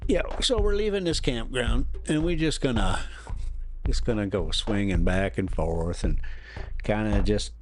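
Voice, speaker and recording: A man's voice: on the low side, unhurried, a little hiss or hum. A man with an informal, folksy manner, hosting and telling stories, moving between casual chat and reading a classic novel aloud. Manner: informal, folksy, lightly profane. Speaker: host and storyteller. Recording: music behind the voice. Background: music.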